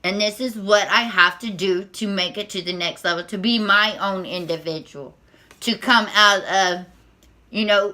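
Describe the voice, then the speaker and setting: A woman speaking as a spiritual tarot vlogger, with a squeaky voice, talking in a nervous, halting way full of restarts and repeated words. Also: sharp tone. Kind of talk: unscripted spiritual monologue to camera.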